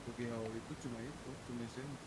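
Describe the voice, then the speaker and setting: A man's voice: fairly even intonation, racing. A man speaking casually and frankly in a roleplay gaming chat, reasoning out his opinion step by step. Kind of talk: casual in-game roleplay group discussion. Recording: clearly noisy game voice chat, low rumble.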